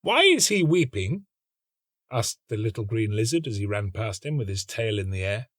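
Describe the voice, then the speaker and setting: A man giving a scripted, measured and expressive storybook narration. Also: fairly low pitch, no echo, clean studio sound. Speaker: actor reading a short story.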